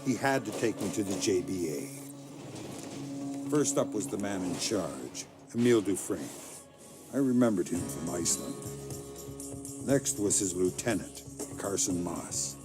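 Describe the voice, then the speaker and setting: Low-voiced, hardened, terse male spy. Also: expressive, a little slow, over music. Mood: grim recounting. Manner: terse, guarded. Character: hardened, grieving middle-aged male undercover spy, terse and defiant. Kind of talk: game cutscene dialogue and narration.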